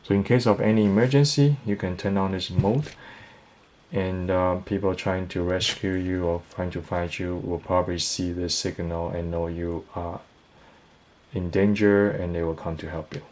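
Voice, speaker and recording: A man's voice: sits low, steady tone, moderate pace, a little mellow. A man giving a casual product review, explaining things step by step in a hesitant way.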